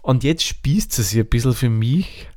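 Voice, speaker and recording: A man's voice: mid-range voice, expressive, normal speed, warm tone. A man, co-hosting a casual beer tasting chat, rambling and thinking aloud. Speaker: beer podcast co-host.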